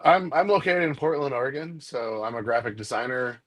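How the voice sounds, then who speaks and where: A man in his forties who grew up in Nepal, talking as a guest on a video-call panel in an earnest, halting way. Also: mid-range voice, fairly even intonation, a bit bright.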